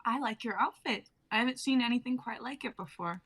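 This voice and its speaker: A woman's voice: on the high side, brisk, a bit bright, slightly rough. A young woman playing a bubbly, teasing twenty-three-year-old wife from 1950 in a dramatic reading, breezy and old-fashioned in her phrasing. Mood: breezy, curious. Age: young adult.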